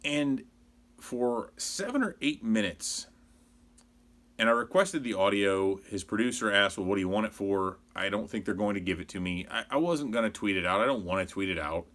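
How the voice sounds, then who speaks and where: A man's voice: lowish voice, lively intonation, normal speed, fairly bright tone. An American man around forty giving a casual, rambling opinion monologue as a sports show host, conversational and exasperated. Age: 40s.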